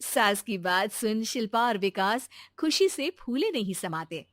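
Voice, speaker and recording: A woman's voice: on the high side, very animated, unhurried, a bit bright, slight background noise. A woman narrating a scripted moral bedtime story as a storyteller.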